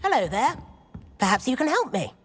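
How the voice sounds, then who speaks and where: A woman's voice: high voice, sing-song, normal speed, breathy edge, full character voice. A woman playing an eager, bookish teenage witch schoolgirl in a video game, with a British accent and a chirpy, well-spoken, inquisitive manner. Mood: friendly, eager. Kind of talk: video game cutscene acting.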